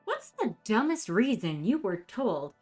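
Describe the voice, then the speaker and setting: A woman's voice: medium pitch, big pitch swings, a little slow, a little mellow. A middle-aged woman hosting a dramatic reading of comments in a theatrical, sarcastic manner. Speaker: host. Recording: music playing behind the voice. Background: background music.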